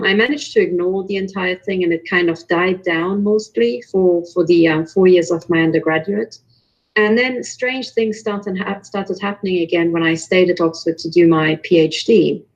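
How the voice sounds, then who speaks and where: A woman from Romania giving personal testimony as a guest in an online panel, earnest and halting, with restarts. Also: fairly low pitch, lo-fi sound. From born in Romania, later moved to Germany. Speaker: invited panel guest, researcher. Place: remote online call.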